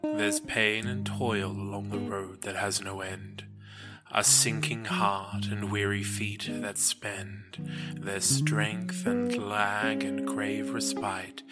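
A man reciting poetry expressively, in a measured and dramatic way. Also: lowish voice. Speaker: poetry podcast reader. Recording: loud guitar music behind voice. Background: acoustic guitar music.

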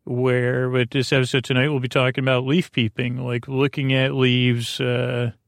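A man with a creaky voice hosts a bedtime podcast, rambling soothingly and hesitantly, often correcting himself as he meanders. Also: mid-range voice, level tone, moderate pace, no room sound, clean studio sound. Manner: meandering, hesitant, lulling delivery with self-corrections. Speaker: podcast host.

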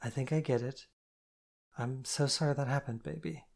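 A woman voicing a calm, caring woman who gently soothes and reassures her partner. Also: a bass voice, moderate pace, vocal fry, heavily acted. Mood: soothing.

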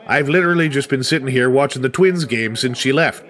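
A man's voice: medium pitch, brisk, a bit bright, close to an everyday voice. An actor playing a caring, stubborn, independent middle-aged father in an audio drama, folksy and a little exasperated. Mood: defensive, irritated. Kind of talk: naturalistic audio drama acting.

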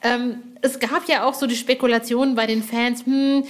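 A woman's voice: fairly high pitch, steady tone, normal speed. A woman hosting a podcast interview in a casual, colloquial and enthusiastic way.

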